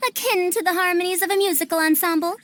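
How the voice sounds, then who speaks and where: A woman's voice: very high voice, fairly even intonation, fast talker, fairly bright tone. A woman playing a cultured, learned young scholar in a video game, speaking in a refined, precise, erudite way. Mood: scholarly.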